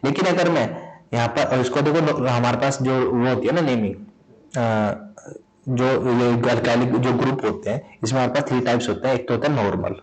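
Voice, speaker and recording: A man from India teaches chemistry at a middle pitch, and his throat is sore enough that he stops to clear it. He poses a question, answers it, then says the answer again, in the patient rhythm of a classroom lesson. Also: moderate pace, rough recording. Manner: repetitive, asks and answers own questions. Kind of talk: explaining a lesson.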